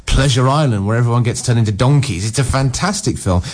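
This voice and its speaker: A man's voice: mid-range voice, fast talker. A man co-hosting a radio film show who gives wry, opinionated reviews full of "you know" and "sort of". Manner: opinionated, wry, with frequent filler words. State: groggy. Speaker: film critic co-host.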